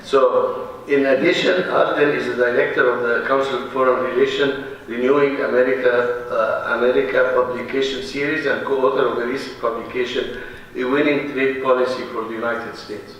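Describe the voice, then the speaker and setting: A man introducing a guest speaker in a halting, lightly joking way. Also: mid-range voice, level tone, a bit bright, slight background noise. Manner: halting, many fillers and restarts, joking. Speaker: event host introducing speaker. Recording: echoey room from far off.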